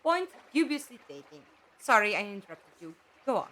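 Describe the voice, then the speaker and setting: An actress in a fan audio drama playing a wry, sceptical, questioning companion to a time traveller. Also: female voice, medium pitch, very animated, a little fast, fairly bright tone. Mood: catching up.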